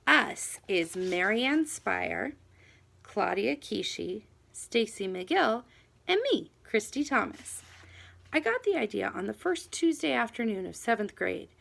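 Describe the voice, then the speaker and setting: Animated woman reading a children's novel aloud. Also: fairly high pitch, slow pace, creaky. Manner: animated, dramatic emphasis on key words. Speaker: audiobook reader. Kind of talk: expressive children's book narration.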